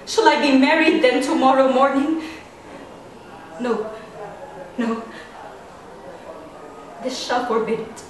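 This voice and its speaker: A desperate young noblewoman, acted by a woman speaks a classical tragedy monologue in a high voice, fearful and dramatic. The sound is very reverberant and distant, with faint noise. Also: slow pace, long pauses. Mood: fearful, dreading. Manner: dramatic, swinging from hushed to frantic. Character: frightened, desperate young noblewoman dreading the tomb. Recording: very echoey room, distant mic, faint noise.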